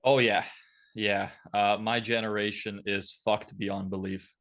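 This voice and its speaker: Low-voiced young man, blunt podcast guest. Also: level tone, unhurried, fairly bright tone. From Lithuania. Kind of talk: casual interview answers, opinionated.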